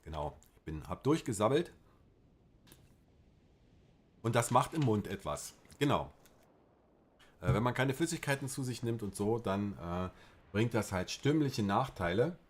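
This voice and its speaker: A man's voice: fairly low pitch, big pitch swings, a little slow, long pauses, slightly rough. Rambling man with a Berlin accent. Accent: Berlin German. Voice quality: rambling, filler-heavy, colloquial. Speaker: livestream host. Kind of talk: casual livestream chat.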